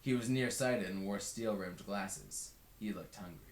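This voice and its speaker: A man reads a book aloud as narrator. His voice sits on the low side. The home recording is roomy, with clear echo around the words. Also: a little slow. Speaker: read-along narrator.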